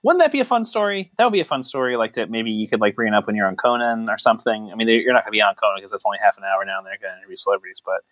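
A man's voice: on the low side, lively intonation, racing, few pauses, a bit bright, no room sound. A man hosting a comedy podcast, riffing playfully in casual banter and acting out imagined quotes. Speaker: podcast host.

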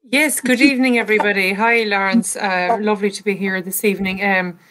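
A woman's voice: medium pitch, fairly even intonation, a little fast, a bit bright, poor audio. An Irish woman from Ireland, a panelist on an online call, talking earnestly with many fillers. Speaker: panelist, medium and energy therapist. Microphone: video call.

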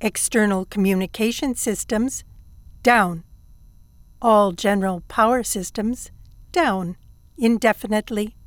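Woman playing a slow, impassive female ship computer. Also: mid-range voice, no room sound, lightly acted. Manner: measured, formal, flat report delivery. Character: calm, dutiful female ship computer assistant, emotionless. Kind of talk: audio drama acting.

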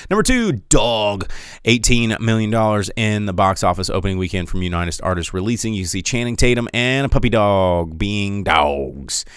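Male podcast host, chatty and meandering, resonant low voice, recorded dry and close. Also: lively intonation, moderate pace, fairly bright tone, smooth, no echo. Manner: chatty and rambling, with many fillers and restarts. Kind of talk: casual movie box office rundown.

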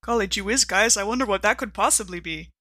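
A woman's voice: medium pitch, fast talker, sharp tone. A woman playing a tabletop role-playing game, bantering casually with playful sarcasm.

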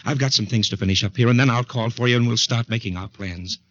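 An actor playing a guilty, desperate man who soothes his sweetheart, in forceful, husky 1940s radio drama style. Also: medium pitch, quick pace, close to an everyday voice. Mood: soothing, urgent. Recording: muffled 1940s radio recording.

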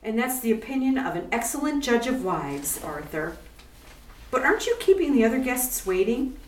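A woman playing a witty, sharp-tongued young wife in a 1940s-style radio play, quick and teasing. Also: a little high, sing-song, a little hiss or hum. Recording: echoey room, distant mic, music behind.

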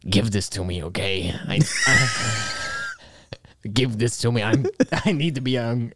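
A man playing an eccentric elderly retiree in his eighties on an improvised comedy show, halting, repetitive and pleading as he insists he is still young. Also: medium pitch, wildly swooping pitch, noisy background, full character voice. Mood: pleading, insistent. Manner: halting, rambling, repeats himself pleadingly. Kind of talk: improvised comedy character interview.

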